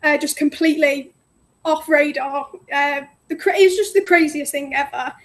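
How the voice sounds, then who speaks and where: A bubbly, outgoing woman in her twenties, from North Wales, talking over the phone. Her voice sits high and bright, and her chat is friendly and easygoing. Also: moderate pace. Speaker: guest, cleaning business owner. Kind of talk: casual interview chat. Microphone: phone call.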